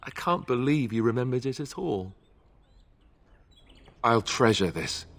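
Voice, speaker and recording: A man's voice: medium pitch, expressive, a little slow. A man playing a wry, boyish, self-deprecating warrior prince in a fantasy game, talking informally and hesitantly. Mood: moved, grateful. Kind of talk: naturalistic fantasy game acting.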